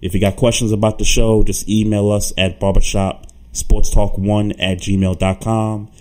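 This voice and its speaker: A very deep-voiced man hosts a laid-back sports talk show. He talks loosely, repeating words and restarting as he thinks. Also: normal speed. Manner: relaxed, stammers and repeats words. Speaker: podcast co-host.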